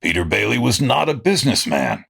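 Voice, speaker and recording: A man playing a miserly, contemptuous old banker villain in radio drama style, curt, scornful and gravelly. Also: fairly low pitch, big pitch swings, fairly quick, fairly bright tone, full character voice. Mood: cold contempt.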